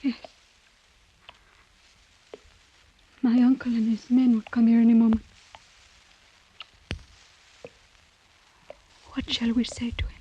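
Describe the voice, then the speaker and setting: A woman's voice: on the high side, flat delivery, fast talker, slightly rough. An actress playing a proud, passionate young noblewoman in love, earnest and romantic in 1940s radio melodrama style. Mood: sorrowful. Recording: dull vintage 1940s radio recording.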